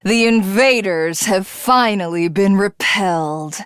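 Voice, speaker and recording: A woman's voice: medium pitch, slow pace, a bit bright, clean tone, no echo, clean studio sound. A woman playing a haughty, megalomaniac female villain in a video game, grandly savouring each phrase as she gloats. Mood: smug gloating.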